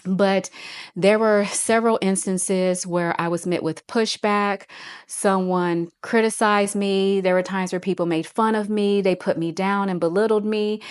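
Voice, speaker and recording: A woman in her forties speaks slowly and with care, her voice a little low and very smooth. She talks in an earnest, reflective way and often goes back to repeat a phrase. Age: 40s. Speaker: host. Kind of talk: personal motivational talk.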